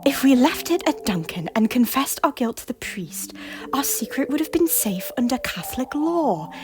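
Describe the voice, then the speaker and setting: A guilt-ridden former queen, played by a woman in a fan audio drama, defiant but full of remorse. Her voice sits a little high and often drops to a whisper. Soft music runs faintly behind. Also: big pitch swings, moderate pace. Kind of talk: amateur audio drama acting.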